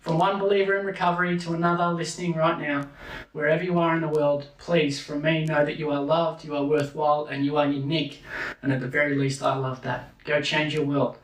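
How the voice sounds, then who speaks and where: A man delivering a motivational talk, counting off numbered points slowly and deliberately. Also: fairly high pitch, level tone. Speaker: speaker. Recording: echoey room from a distance.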